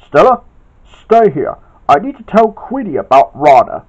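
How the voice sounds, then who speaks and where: An amateur storyteller voicing an earnest, excitable male restaurant worker with emphatic, overwrought delivery. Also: medium pitch, moderate pace, voice pushed up. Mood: worried, earnest. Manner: emphatic, overwrought, stretched-out exclamations. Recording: dull, lo-fi home recording.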